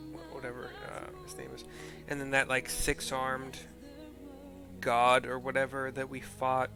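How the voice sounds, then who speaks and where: A man streaming a video game, casually describing scenes aloud and often searching for words. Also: medium pitch. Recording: clear game music behind voice. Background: game music.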